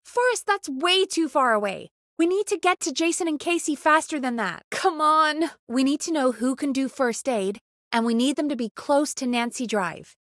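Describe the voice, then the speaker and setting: A woman's voice: sits high, lively intonation, moderate pace, fairly bright tone, dry recording, studio quality. A woman playing an earnest, urgent radio producer in a video game, conversational and pressing in naturalistic dialogue. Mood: brisk, urgent.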